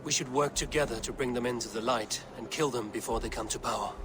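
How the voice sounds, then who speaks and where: An actor playing a resolute, grim and forthright Viking warrior in a dramatic video game. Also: male voice, mid-range voice, fast, background noise, lightly acted. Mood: grave, urgent.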